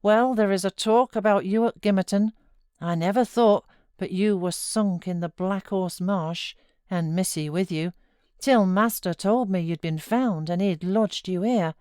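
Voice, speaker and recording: A woman's voice: medium pitch, unhurried, warm tone, clear, resonant tone, no echo, studio quality, lightly acted. A woman voicing a gossipy, good-natured country servant in a novel, exclaiming in rural dialect. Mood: excited, curious.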